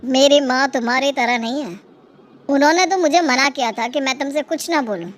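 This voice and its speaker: Woman doing young husband, reproachful, stern, high voice, story drama, faint background noise. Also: normal speed, close to an everyday voice. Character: indignant young husband defending his family.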